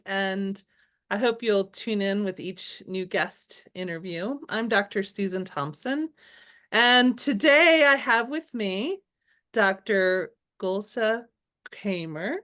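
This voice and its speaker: Woman host, slow and hesitant, lots of fillers. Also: mid-range voice, fairly bright tone. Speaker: host, clinical supervisor. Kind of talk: interview hosting.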